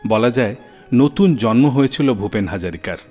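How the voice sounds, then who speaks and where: Man, radio show host, scripted storytelling, animated intonation, dramatic pauses, soft music behind. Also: mid-range voice. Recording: long reverb, music bed behind.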